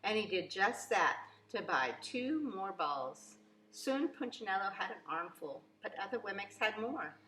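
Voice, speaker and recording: Female storybook reader for young children. Bright, crisp-edged delivery, emphatic and expressive. Roomy sound with faint noise. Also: mid-range voice, measured pace. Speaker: preschool teacher reading aloud. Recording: echoey room, faint background noise.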